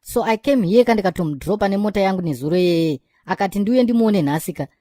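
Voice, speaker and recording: A woman's voice: mid-range voice, fast talker, warm tone, no room sound, clean studio sound, lightly acted. A woman with a Zimbabwean accent voicing a boastful young man who chases women, in a cartoon. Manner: bragging, mixing in English phrases. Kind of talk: cartoon voice acting.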